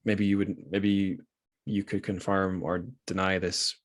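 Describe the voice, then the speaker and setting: A British man with a low-pitched voice hosts a casual interview. He rambles tentatively, often qualifying himself in a self-deprecating way. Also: flat delivery, a little slow. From United Kingdom. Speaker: podcast host, interviewer.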